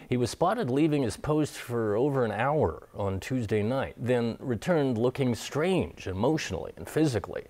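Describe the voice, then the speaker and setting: A man's voice: medium pitch, darkish tone. A man reading scripted news as an anchor.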